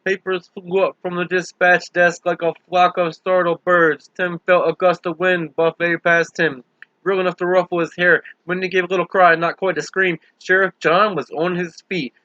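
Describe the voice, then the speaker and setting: A man's voice: high voice, level tone, moderate pace, bright, forceful tone, no echo, highs cut off. A man reading a novel aloud as dramatic, emphatic audiobook narration, in a theatrical way. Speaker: book reader.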